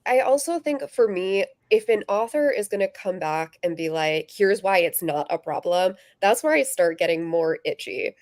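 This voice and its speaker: A woman's voice: lowish voice, lively intonation, normal speed, few pauses, darkish tone, no echo. Casually chatting woman, close dry sound. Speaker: book vlogger and podcast-style co-host. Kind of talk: casual chat.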